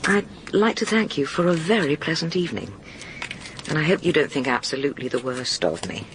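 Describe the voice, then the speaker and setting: A woman's voice: low voice, animated, a little fast, background noise. An actress playing a guarded but candid single woman in a radio drama, confiding hesitantly. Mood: pleading, regretful. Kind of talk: naturalistic British radio drama acting.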